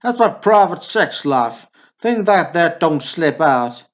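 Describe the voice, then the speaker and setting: An actor playing a meek, easily offended small-town store owner, earnest and defensive in an amateur radio play. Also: male voice, a little high, sing-song, slow. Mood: indignant. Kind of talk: amateur radio drama acting. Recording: dull lo-fi radio drama recording.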